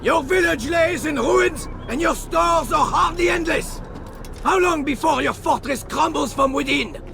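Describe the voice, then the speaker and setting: An actor playing a menacing Templar knight commander in a video game, shouting threats in a strained, gravelly, declamatory voice. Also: male voice, very high voice, unhurried, music in the background, exaggerated voice. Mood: furious, threatening. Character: menacing enemy Templar knight commander, male, besieging a fortress. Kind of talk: video game cutscene acting.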